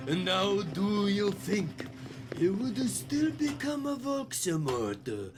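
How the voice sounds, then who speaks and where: A man with a high voice acts out story dialogue at a slow, drawn-out pace. His pitch swoops about theatrically over clear background music. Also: sing-song. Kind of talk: acted read-aloud of character dialogue.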